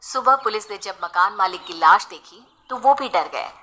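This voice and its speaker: A woman's voice: mid-range voice, animated, moderate pace, bright, forceful tone. A woman narrating a scripted horror bedtime story as a storyteller.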